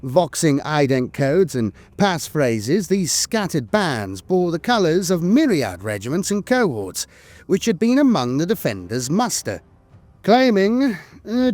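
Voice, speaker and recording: A man's voice: fairly high pitch, darkish tone, dry recording. A man reading scripted lore narration in a formal, dramatic storytelling manner, recorded dry and close to the mic. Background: music.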